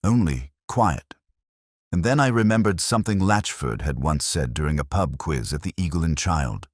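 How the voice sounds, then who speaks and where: An actor playing an ageing, reflective detective, narrating a literary first-person monologue in a measured, melancholy, brooding way. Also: male voice, very deep voice, slightly rough, no echo, clean studio sound, heavily acted. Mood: pensive, sombre, then wistful, ruminative.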